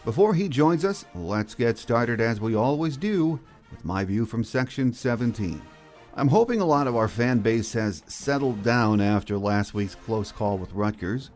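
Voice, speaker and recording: A man's voice: medium pitch, lively intonation, a little slow, darkish tone, faint music behind. An American man hosting a sports podcast, delivering scripted commentary and introductions.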